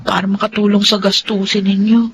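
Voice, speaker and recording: A woman playing a resilient, weary young student and daughter in an expressive Filipino radio drama, with a Filipino accent. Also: mid-range voice, a little fast, slight background noise, natural voice. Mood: concerned.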